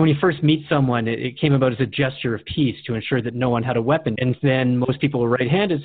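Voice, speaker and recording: A man's voice: mid-range voice, dry recording. A man hosting a radio show in a conversational, earnest and reflective way. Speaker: radio host. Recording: dry, phone-like narrow band.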